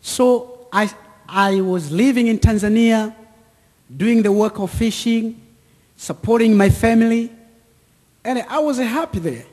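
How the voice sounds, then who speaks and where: A man in his thirties with an East African accent, giving a personal testimony to an audience in deliberate, short repeated phrases. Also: high-pitched, a little mellow. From Central or East Africa, near Lake Tanganyika. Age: 30s. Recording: echoey hall with long reverb.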